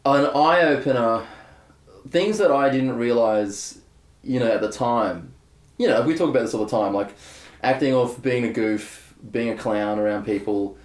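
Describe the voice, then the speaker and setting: A young adult man, a bass player talking candidly in a casual interview, rambling with many fillers and restarts. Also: measured pace. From Australia. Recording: echoey room.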